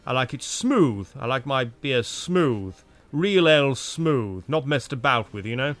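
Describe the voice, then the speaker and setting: An actor playing a vain, pompous middle-aged actor with a Welsh accent, talking in a theatrical, indignant, camp way. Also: male voice, mid-range voice, lively intonation, unhurried, a bit bright, no echo. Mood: smug. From Wales. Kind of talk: improvised comedy banter.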